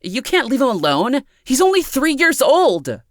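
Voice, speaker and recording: A man's voice: medium pitch, lively intonation, slow, no room sound, clean studio sound, voice pushed up. A man voicing an anxious, timid young woman in an audiobook, with a trembling, hesitant and pleading delivery. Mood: panicked, pleading.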